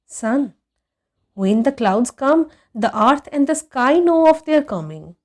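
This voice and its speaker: A woman voicing a calm, wise old man in a story, speaking with patient deliberation. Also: high voice, slow, pitched above the performer's own voice, full character voice. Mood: sage, patient.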